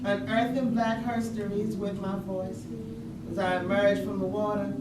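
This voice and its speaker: An actress performing a staged reading as a mother's spirit and storyteller, speaking with deliberate, incantatory phrasing. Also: medium pitch, lightly acted. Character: majestic risen mother spirit, ancient storyteller, tender and stern. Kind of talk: staged reading, poetic monologue. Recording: echoey room, distant mic, loud background noise.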